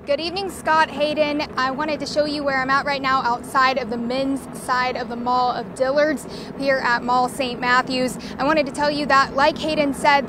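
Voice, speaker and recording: Young woman reporting live outdoors. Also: high-pitched. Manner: steady, plain reporting with some restarts. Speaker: field reporter. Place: outdoors in a mall parking lot. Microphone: handheld mic. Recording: outdoor noise behind.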